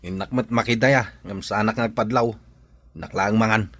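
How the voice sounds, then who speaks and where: A man's voice: fairly low pitch, steady tone, normal speed, a bit bright, no echo. A man with an Ilocano accent acting as a jealous, hot-headed young jilted son in a radio drama. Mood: bitter. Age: young adult.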